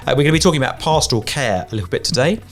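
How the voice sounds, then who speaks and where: A man hosting a podcast interview, chatty and joking, asking quick follow-up questions. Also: medium pitch, lively intonation. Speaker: podcast host. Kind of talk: conversational interview.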